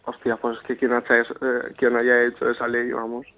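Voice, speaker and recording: A man's voice: mid-range voice, monotone, moderate pace. A young man with an accent from Spain, talking casually and reactively over the telephone. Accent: Spanish from Spain. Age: young adult. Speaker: prank call victim, journalism student. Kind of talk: casual reactive phone conversation. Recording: dull, lo-fi phone line.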